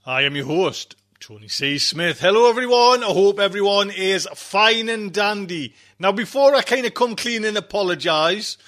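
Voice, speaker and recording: Man, high voice, casual podcast host, rambling, chatty, repetitive phrasing. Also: bright, forceful tone.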